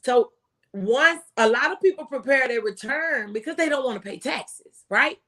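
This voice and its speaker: American lady, pretty high voice, real folksy and emphatic, talking like a tax pro giving advice. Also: animated, normal speed, a bit bright. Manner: emphatic, folksy, repeats phrases for stress. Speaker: former IRS agent and tax professional, guest speaker. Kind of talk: impassioned conversational tax advice.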